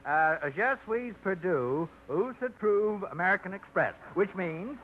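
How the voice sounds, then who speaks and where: A man's voice: high-pitched, lively intonation, unhurried, slight background noise. An actor in a 1950s radio play, playing an earnest, helpful man with a hesitant, pedantic way of talking. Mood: eager, fussy. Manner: hesitant, throat-clearing, pedantic. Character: earnest, fussy, helpful man reading phrasebook French. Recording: thin phone-like old radio recording.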